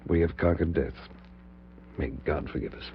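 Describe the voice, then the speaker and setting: An actor playing a scientist's uneasy, God-fearing colleague in a 1940s radio horror drama, hesitant and grave. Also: male voice, low voice, moderate pace, vocal fry. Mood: troubled, hesitant. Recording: muffled, noisy 1940s radio recording with hum.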